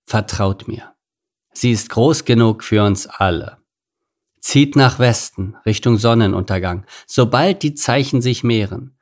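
Man playing a grave, gravelly lion king. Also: medium pitch, natural voice. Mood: solemn, reassuring. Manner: grave, measured speech-making. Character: solemn, reassuring male lion king. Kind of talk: children's storybook character voice.

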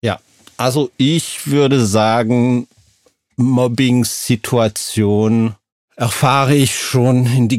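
A middle-aged man from Switzerland, a school social worker, speaking in a deliberate way with slightly broken grammar. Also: on the low side, a little mellow, no room sound, studio quality. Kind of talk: casual talk show chat.

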